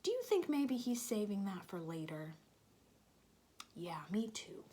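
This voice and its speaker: A woman reading a picture book aloud to young children, gently asking them questions and sometimes dropping to a soft whisper. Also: medium pitch, sing-song, measured pace.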